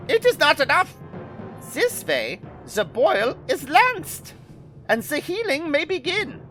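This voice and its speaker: Pompous mad scientist, wildly swooping pitch, bright forceful tone, grandiose, audio drama villain. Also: male voice, huge pitch swings, slow pace, faint music behind, full character voice. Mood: indignant. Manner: grandiose, alliterative, theatrical.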